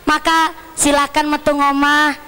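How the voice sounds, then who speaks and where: Slow-paced woman preacher with Javanese accent. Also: high-pitched, level tone, sharp tone. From Central Java, Indonesia. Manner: teasing, folksy. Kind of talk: lively sermon mixing teaching with humour. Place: live religious gathering.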